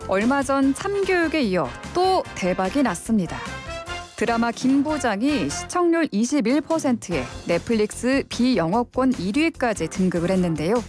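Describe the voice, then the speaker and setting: A woman hosting a news radio program, speaking in a measured, polished announcer's delivery. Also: fairly high pitch, darkish tone.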